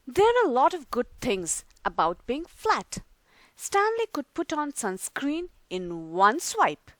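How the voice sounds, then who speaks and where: Woman storyteller, high lively voice, unhurried reading. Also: dry recording. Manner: slow, expressive reading. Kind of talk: children's book read-aloud.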